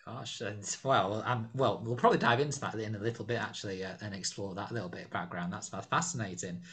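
A man with a lowish voice hosting a podcast, talking quickly. He questions his guest in an encouraging way, with plenty of "uh"s and "well"s along the way. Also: fairly bright tone. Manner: encouraging, uses fillers. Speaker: podcast host. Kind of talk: interviewing a guest.

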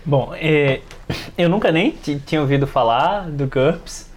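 A man's voice: on the high side, expressive, unhurried. A man with a Brazilian Portuguese accent chatting casually as a podcast panelist. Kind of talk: casual chat.

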